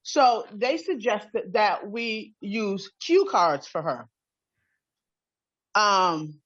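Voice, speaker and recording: A woman's voice: mid-range voice, animated, very slow, fairly bright tone, dull audio. A woman who works in a group home, speaking on an online call. She talks conversationally, asks questions and repeats words.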